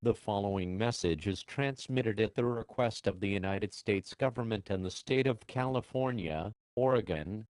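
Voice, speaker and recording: A man reading a scripted emergency broadcast announcement in a formal, measured way as the alert announcer. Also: fairly low pitch, darkish tone, no echo.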